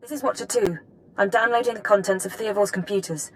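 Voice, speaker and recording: A woman playing a businesslike intelligence analyst in a video game, precise and measured. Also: medium pitch, background noise, close to an everyday voice. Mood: focused, concerned.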